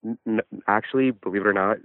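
Male caller, very low register, halting and foul-mouthed casual talk, narrow telephone sound. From New Jersey. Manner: hesitant, profane. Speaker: phone-in caller. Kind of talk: casual chat, recounting own troubles. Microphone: phone call. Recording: muffled band-limited phone line.

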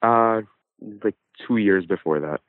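Male caller, very low register, halting and foul-mouthed casual talk, narrow telephone sound. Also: no room sound. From New Jersey. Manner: hesitant, profane. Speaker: phone-in caller. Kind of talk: casual chat, recounting own troubles. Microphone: phone call. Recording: muffled band-limited phone line.

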